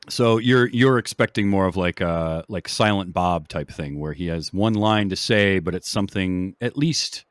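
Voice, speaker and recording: A man hosting a casual film discussion in a conversational, measured, agreeable way. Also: deep voice, no room sound, studio quality. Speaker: film podcast host.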